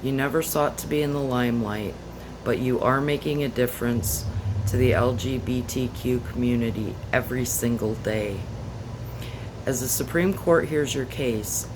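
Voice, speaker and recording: Parent, steady heartfelt read, AC hum behind. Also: female voice, rumbling low, moderate pace. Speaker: parent of a transgender daughter. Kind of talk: reading a personal letter aloud.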